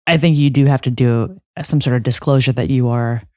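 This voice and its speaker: A woman's voice: extremely low pitch, fairly quick, warm tone, dry recording. A woman trial lawyer talking as a podcast guest on a close microphone, reflective, with frequent restarts and fillers. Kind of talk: conversational interview.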